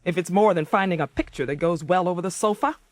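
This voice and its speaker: An actress in a 1980s radio drama playing a wistful, neglected wife with a wry, expressive manner. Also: female voice, on the low side, fast, loud music behind the voice, lightly acted. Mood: bitter. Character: Carol, neglected wife and former set designer, wistful, bitter. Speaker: actress narrating and playing the lead.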